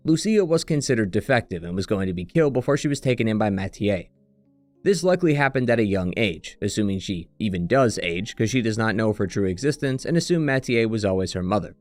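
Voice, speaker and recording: A man's voice: mid-range voice, no echo. A man reading scripted, analytical commentary as the narrator and critic of a video game retrospective.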